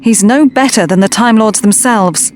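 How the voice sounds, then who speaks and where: Woman playing a troubled, higher-voiced loyalist. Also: normal speed, slight background noise, close to an everyday voice. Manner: earnest, wistful, questioning. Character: troubled, conflicted, earnest woman, loyal friend. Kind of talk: audio drama acting.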